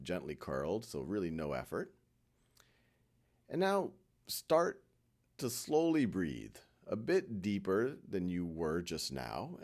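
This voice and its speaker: A man leading a guided meditation in a gentle, reassuring manner, leaving frequent pauses between short instructions. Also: on the low side.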